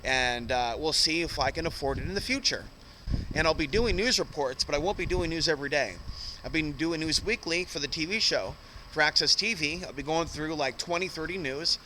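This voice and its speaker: Man, bright forceful voice, rambling solo podcast talk, halting delivery, some background rumble. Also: low-quality recording. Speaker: host of an independent podcast and TV news show. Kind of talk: rambling unscripted monologue to listeners.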